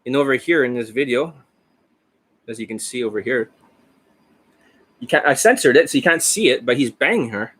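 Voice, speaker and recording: A man coaching about dating who talks in a brash, profane, rambling way, hoarse and losing his voice, with coughs between lines. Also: mid-range voice, expressive, normal speed, long pauses, a bit bright. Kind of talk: casual monologue over a screen share. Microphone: desk microphone.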